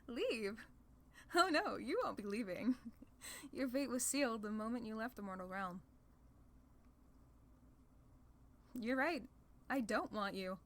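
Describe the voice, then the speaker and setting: A woman's voice: on the high side, animated, measured pace, long pauses, fairly bright tone. A woman playing a haughty, sly female demon lord in an ASMR roleplay, condescending and playfully theatrical. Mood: scornful.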